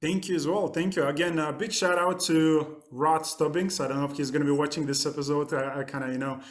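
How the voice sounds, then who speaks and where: A man's voice: on the high side, fairly even intonation, a little fast. A man hosting a podcast, introducing his guest eagerly and asking rambling questions full of fillers. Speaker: podcast host. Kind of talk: casual interview hosting.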